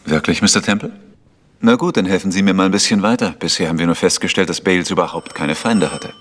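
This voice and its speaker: Ein Schauspieler, der in einem Krimihörspiel einen trockenen, skeptischen Polizeiermittler spielt. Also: male voice, deep voice, fast talker, a little hiss or hum, close to an everyday voice. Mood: sceptical. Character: dry, sceptical male police investigator. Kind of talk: vintage German radio crime drama acting.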